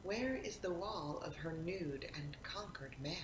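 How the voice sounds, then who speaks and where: A woman reciting poetry expressively, in a deliberate manner with dramatic pauses. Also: animated. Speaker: poetry reader, actor. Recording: roomy echo, faint rumbling background noise.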